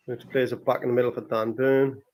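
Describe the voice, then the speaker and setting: Guy hosting a football watchalong, casual fan commentary, exclaims at the action, medium pitched voice. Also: little pitch movement, a little fast, a little mellow, rough recording. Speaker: football watchalong podcast host.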